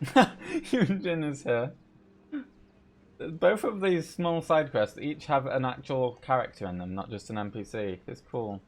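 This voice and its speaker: A man's voice: medium pitch, lively intonation, unhurried. A man doing casual live gameplay commentary as a streamer, reacting aloud and muttering to himself. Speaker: game streamer.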